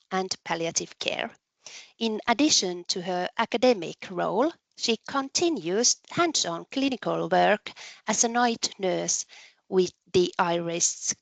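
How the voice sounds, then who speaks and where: A woman hosting a webinar, introducing a guest speaker in a measured, carefully read way with occasional ums. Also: medium pitch, vocal fry, dry recording, low-quality recording. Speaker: webinar host, moderator. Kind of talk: formal introduction.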